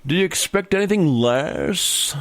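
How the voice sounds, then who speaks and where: An actor playing a smug, corrupt, middle-aged senator in a comedy radio play, boastful and pompous. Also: male voice, on the high side, animated, measured pace, a bit bright, no echo. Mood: gloating. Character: smug, corrupt veteran male senator, scheming and self-satisfied. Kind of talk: comedy radio theater acting.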